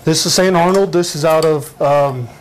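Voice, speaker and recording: A man's voice: fairly high pitch, normal speed, a little hiss or hum, low-quality recording. A man appearing as a guest on a TV kitchen segment, explaining things simply and hesitantly, step by step. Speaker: guest bar employee explaining beers. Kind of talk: informal demonstration. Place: TV studio kitchen.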